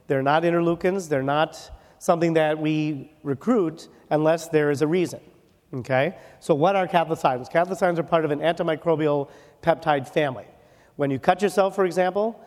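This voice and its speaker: A man from Wisconsin giving a joking, fast-moving medical lecture at a conference, often checking in with "okay?". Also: a little high, darkish tone. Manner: joking, rapid, ends points with "okay?". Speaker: dermatologist lecturer. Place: conference hall. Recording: reverberant conference hall.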